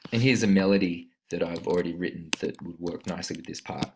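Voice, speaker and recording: A man giving an informal, step-by-step screen-recorded tutorial on music production software, talking as an instructor. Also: deep voice, fast talker, very noisy. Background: synth instrument notes played during the demonstration.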